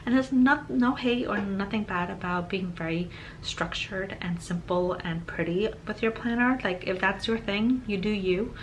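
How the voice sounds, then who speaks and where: A woman's voice: mid-range voice, moderate pace, slight background noise, poor audio. A woman in her thirties talks through her day in a loose, chatty voice-over. She rambles a little and leans on "um" and "like." Her voice is slightly off from a cold she is still getting over. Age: 30s. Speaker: planner vlogger. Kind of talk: casual voice-over narration.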